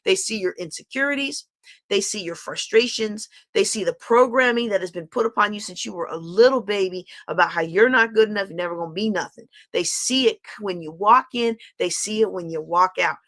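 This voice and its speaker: A woman's voice: mid-range voice, a little fast, a bit bright. A middle-aged woman coach giving a candid live-streamed motivational talk, repeating phrases and asking her viewers questions. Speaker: life coach.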